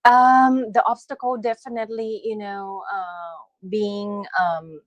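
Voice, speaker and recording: A woman's voice: mid-range voice, flat delivery, slow, no room sound, lo-fi sound. Thai-accented woman guest, dry sound. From Thailand. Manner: hesitant, many fillers, non-native grammar. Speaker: guest, aesthetician. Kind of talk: casual interview.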